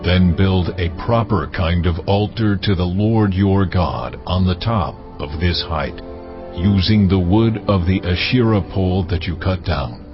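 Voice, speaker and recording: An actor playing the solemn, commanding voice of God in a dramatized scripture recording, speaking deliberately and with authority. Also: male voice, low voice. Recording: muffled audio with music underneath.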